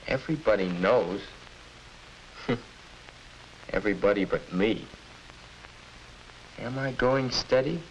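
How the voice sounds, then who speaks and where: A young man playing a puzzled, halting high-school boy in a 1950s instructional film. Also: low-quality recording. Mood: bewildered. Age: young adult. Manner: hesitant, musing, halting. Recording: hissy 1950s educational film soundtrack.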